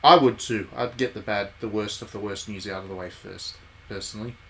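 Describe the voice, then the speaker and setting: A man's voice: on the low side, fairly even intonation, brisk, gravelly, sharp tone. Casual male game streamer with background noise. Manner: chatty, jokey, addressing viewers by name. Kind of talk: casual livestream commentary, reading game text aloud.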